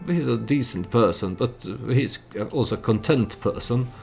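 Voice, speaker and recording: A man's voice: mid-range voice, expressive, moderate pace, gravelly, darkish tone, soft background music. A man who is not a native English speaker gives casual gameplay commentary with storytelling, in a hesitant, meandering manner. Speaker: let's play host.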